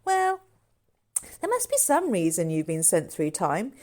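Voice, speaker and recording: A woman's voice: on the low side, huge pitch swings, a little slow, darkish tone. A woman voicing an earnest boy who takes charge of a mystery with grown-up airs. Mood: earnest, eager. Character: eager, knowing boy taking charge of a mystery.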